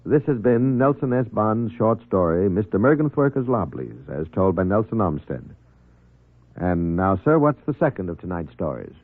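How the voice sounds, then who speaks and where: A man's voice: fairly low pitch, very animated, normal speed. A man making a scripted announcement as a radio host. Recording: muffled, narrow-band vintage radio broadcast.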